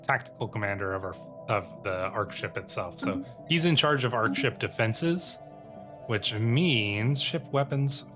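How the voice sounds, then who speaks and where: A man's voice: fairly low pitch, fairly bright tone. A man doing roleplay game commentary, reading on-screen text and musing aloud to himself as he plays. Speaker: gaming video host. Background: game music.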